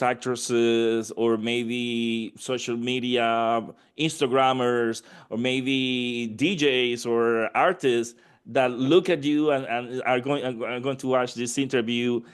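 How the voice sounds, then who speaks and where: A man interviewing a guest, asking rambling questions with many halting restarts. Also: medium pitch, clear, resonant tone.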